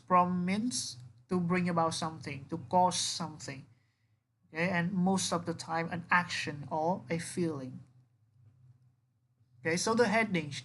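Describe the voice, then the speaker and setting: A woman's voice: fairly low pitch, fairly bright tone, a little hiss or hum. A woman English teacher giving an explanatory vocabulary lesson, instructive, often repeating words and rephrasing them with synonyms.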